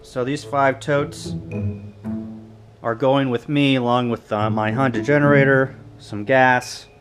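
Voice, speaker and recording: A man doing a casual show-and-tell to camera in a halting, list-like delivery. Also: mid-range voice, steady tone, music in the background. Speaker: vlogger.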